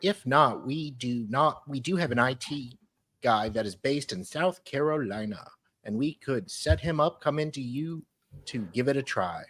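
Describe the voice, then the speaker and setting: Male panelist, average pitch, informal conversational delivery. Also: lively intonation, moderate pace, a bit bright, husky. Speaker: panelist on a livestream. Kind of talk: casual discussion, reading emails aloud.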